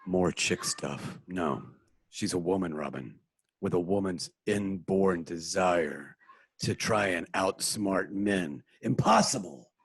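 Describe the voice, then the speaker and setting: A man playing Batman in a home-recorded radio play, with deliberate, mock-serious deductions. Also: low-pitched, creaky, breathy edge, no room sound, full character voice. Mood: deadpan. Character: Batman, grave adult male crime-fighter, smug deductive. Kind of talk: amateur radio play reading. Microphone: home mic, dry room.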